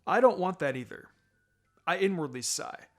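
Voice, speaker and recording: A man reading a story aloud in an expressive, dramatic way as its narrator. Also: high voice, normal speed, soft background music. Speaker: fan fiction reader and narrator.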